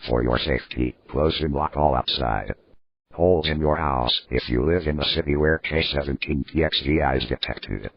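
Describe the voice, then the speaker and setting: Male alert announcer, extremely low voice, formal synthetic read, slightly muffled and dry recording. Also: fairly even intonation, normal speed, no room sound. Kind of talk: scripted emergency broadcast narration. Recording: dull, slightly lo-fi dry audio.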